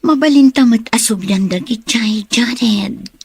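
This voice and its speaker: A scheming young woman full of guilt, high husky voice, emotional ilocano radio drama. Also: unhurried, a little mellow, no room sound. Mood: pressing.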